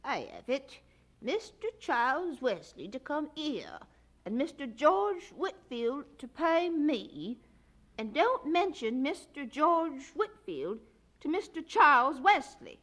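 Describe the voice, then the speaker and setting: A woman's voice: high-pitched, very animated, slow pace, fairly bright tone, exaggerated voice. An actress playing an old errand woman in a radio drama, simple and bargaining, easily won over by coin. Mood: agreeable, reciting. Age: older.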